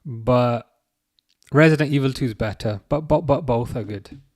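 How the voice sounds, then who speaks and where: A man hosting a live watch-along stream, chatting casually with viewers in a relaxed, hesitant way with plenty of fillers. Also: mid-range voice, normal speed, no room sound, clean studio sound. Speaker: livestream host reading chat.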